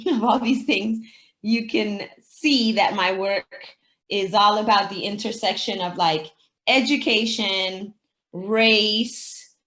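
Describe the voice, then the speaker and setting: A woman's voice: medium pitch, fairly bright tone. A woman from the Dominican Republic, a native Spanish speaker, explains things conversationally as an educator being interviewed, thinking aloud and posing rhetorical questions. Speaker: teacher and anti-racism educator, interviewee. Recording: roomy, echoing sound.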